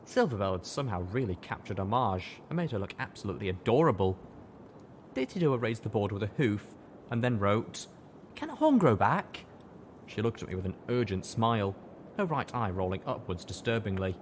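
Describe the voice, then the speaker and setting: A man's voice: lowish voice, expressive, a little fast, slight background noise. A man reading a scripted story aloud as an audiobook narrator. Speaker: audiobook reader.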